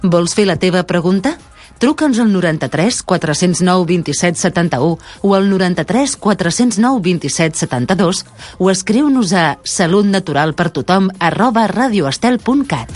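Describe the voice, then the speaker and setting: A woman's voice: lowish voice, animated, unhurried, a little mellow, soft background music. A woman reading scripted radio show announcements.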